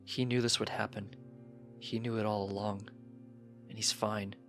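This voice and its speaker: Man as a loving stallion dad, gentle, calm, resigned, soft-spoken, lowish, storybook, music. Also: normal speed, natural voice. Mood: quiet resignation.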